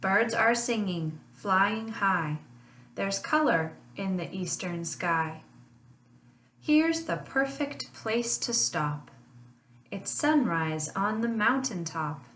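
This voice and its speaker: Slow, sing-song woman reading a picture book. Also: medium pitch. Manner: slow, sing-song rhyming read with lively honks. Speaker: family literacy teacher. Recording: echoey room, voice a bit far from mic.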